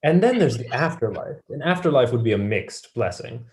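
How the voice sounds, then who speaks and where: A man's voice: mid-range voice, sing-song, normal speed, a little mellow, close to an everyday voice. A student actor as a wry young male scholar. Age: young adult. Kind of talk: staged play reading.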